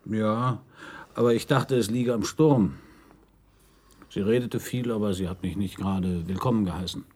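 Husky, mellow man under questioning. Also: fairly low pitch, moderate pace. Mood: guarded. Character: uneasy man questioned by police, confiding and subdued. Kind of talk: German radio crime drama acting.

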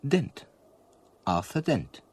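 A man's voice: medium pitch, big pitch swings, extremely slow, darkish tone, breathy edge, full character voice. An actor playing a bewildered, polite Earth man in a radio play, fussy and puzzled with a grumbling streak.

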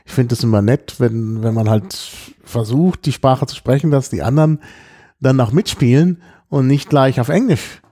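A middle-aged man chatting casually about his travels, hesitant and often clearing his throat. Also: medium pitch, moderate pace, mellow. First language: German. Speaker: podcast guest telling about his trip. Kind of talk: casual chat, travel anecdotes.

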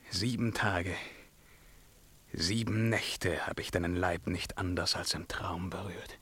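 A tormented young emperor in a radio verse play, tense forceful voice, slow and declamatory, hushed dread to cries. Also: male voice, mid-range voice, no echo, studio quality. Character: tormented young emperor breaking free of his bewitching lover. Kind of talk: theatrical verse radio drama acting.

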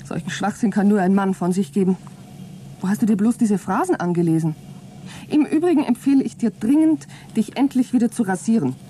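A woman's voice: medium pitch, lively intonation, fairly quick, mellow, slight background noise, close to an everyday voice. An actress playing a scornful, sarcastic female space crewmate in a radio play, mocking and scolding with contempt.

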